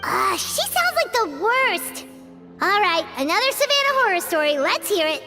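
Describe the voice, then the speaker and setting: A woman voicing an excitable tiny floating female sprite companion in a video game, with a high, bouncy, chirpy voice. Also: moderate pace, over music, full character voice. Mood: sympathetic.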